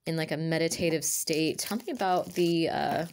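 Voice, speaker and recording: A woman with a raspy voice and a lingering cough, reading tarot cards to camera in a casual chat and half-whispering card names as she lays them out. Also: sits low, steady tone, moderate pace, a little mellow. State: recovering from a cough. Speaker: tarot reader. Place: indoors at a table with a purple cloth. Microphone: camera over her hands, speaker off-frame.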